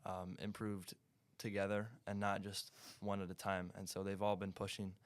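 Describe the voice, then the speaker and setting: A teenage boy runner speaking in a measured, hesitant way with frequent ums. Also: sits low, completely flat delivery, no room sound. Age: teens. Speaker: high school runner.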